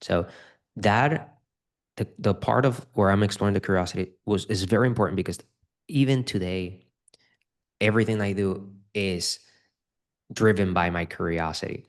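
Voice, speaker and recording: A man's voice: low voice, normal speed, pauses often. A man from the Republic, a guest in a casual interview, talking earnestly and often repeating his first words. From Dominican Republic. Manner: earnest, repeats words when starting sentences. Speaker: guest, real estate investor.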